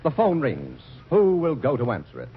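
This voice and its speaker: A man's voice: mid-range voice, huge pitch swings, normal speed, slightly rough. A man announcing scripted sketches on a 1940s radio comedy show, formal and mock-serious. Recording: dull old radio broadcast.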